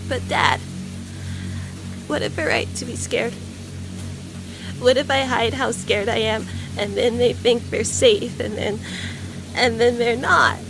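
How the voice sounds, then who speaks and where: A woman's voice: a little high, music in the background, poor audio. A woman voicing a frightened young boy who stammers and repeats himself in a horror audio drama. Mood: worried. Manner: halting, stammering, repeating words. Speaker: voice actor.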